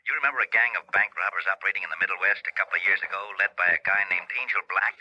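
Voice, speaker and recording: A man's voice: sits low, racing, no echo. An actor playing a businesslike policeman giving an urgent briefing over the telephone in an old radio crime drama. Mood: brisk, urgent. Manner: urgent, matter-of-fact briefing. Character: brisk, businesslike policeman briefing a detective by phone. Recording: muffled vintage radio, phone-filtered.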